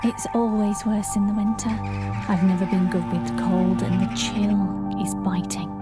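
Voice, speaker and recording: An actress playing a haunted folk singer who shapeshifts, narrating her story in a measured, lyrical, reflective way in an audio drama. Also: mid-range voice, level tone, loud music behind the voice, lightly acted. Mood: hushed, tense. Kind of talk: audio drama monologue, first-person narration.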